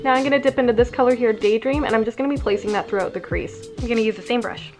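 Young woman, a little nasal from a cold, casual at-home beauty chat, higher voice, music bed. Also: very fast. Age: young adult. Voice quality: slightly nasal. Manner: chatty, walks through each step. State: congested from a cold. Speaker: beauty vlogger. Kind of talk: casual makeup tutorial talk. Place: living room at home.

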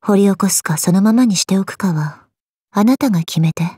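A woman voicing a cool, aloof, quietly caring gorgon swordswoman in a game, languid, deadpan and curt, with a soft, husky voice. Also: medium pitch, a little fast, background noise, close to an everyday voice. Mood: languid, teasing. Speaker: voice actress. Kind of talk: scripted Japanese mobile game character lines.

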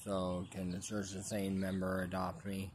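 Low, flat-voiced man rambling to a phone. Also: a little hiss or hum. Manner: repetitive, halting restarts. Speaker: vlogger. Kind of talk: rambling selfie plea to camera. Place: outdoors under a tree. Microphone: phone held at arm's length.

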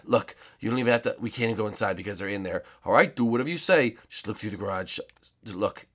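A man voicing a panicky young male friend who stammers in urgent, hushed bursts. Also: on the low side, fast talker, lightly acted. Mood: frantic whisper. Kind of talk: comedic puppet-style skit voices.

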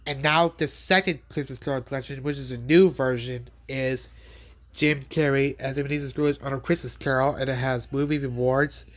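A man's voice: medium pitch, fairly even intonation, moderate pace. A man with a cold, talking casually in a bedroom vlog while showing his DVDs, often repeating words and restarting his sentences. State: has a cold. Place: bedroom, indoors. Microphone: handheld phone pointed at bed.

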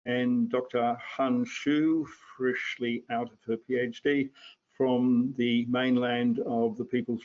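Slow, flat-voiced older male moderator. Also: medium pitch. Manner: halting, many fillers. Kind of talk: moderating a video panel. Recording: lo-fi video call audio.

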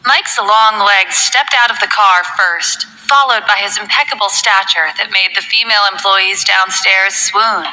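Bright-voiced woman narrating a novel. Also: medium pitch, poor audio.

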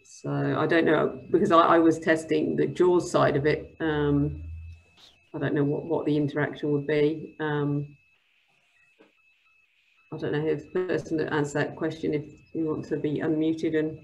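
A woman's voice: low-pitched, flat delivery, moderate pace, vocal fry, a little mellow, poor audio. A woman hosting a webinar over a video call, casually relaying questions. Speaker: webinar host.

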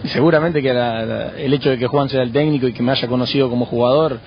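Argentine footballer, calm halting answers, dull radio sound with light hiss. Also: male voice, mid-range voice. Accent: Argentine Spanish. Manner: measured, frequent hesitations and restarts. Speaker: footballer guest. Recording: muffled radio broadcast with faint noise.

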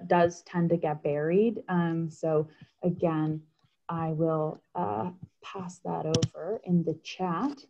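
Female meeting coordinator, slightly low register, hesitant phrasing, informal video-call presentation. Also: background noise. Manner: hesitant, halting delivery with repeated words. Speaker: advocacy coordinator leading a meeting. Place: indoors on a group video call. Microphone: computer video call.

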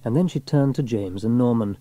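A man narrating a scripted children's story in an expressive storytelling manner. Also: medium pitch, fairly quick. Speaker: audiobook narrator.

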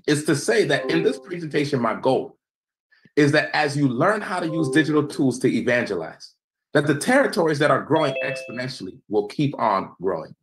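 A young American man giving an evangelism seminar over a video call, measured and preacherly, letting phrase endings fall quiet. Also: very animated, poor audio. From United States. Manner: measured, preacherly, emphatic, drops pitch and volume at phrase ends. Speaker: seminar presenter. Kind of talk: presentation lecture with slides.

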